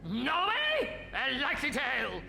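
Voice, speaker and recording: An actor with an upper-class English accent playing a pompous, blustering polar expedition captain. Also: male voice, very high-pitched, wildly swooping pitch, a little slow, vocal fry, long reverb tail, over music, exaggerated voice.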